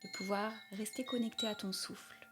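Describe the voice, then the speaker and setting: A woman with a medium-pitched voice guides a relaxation session. She speaks soothingly and takes her time, letting phrases trail into soft breath. Music and chimes play clearly behind her. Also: steady tone, normal speed. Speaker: yoga nidra guide. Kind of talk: guided meditation. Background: meditation music with chimes.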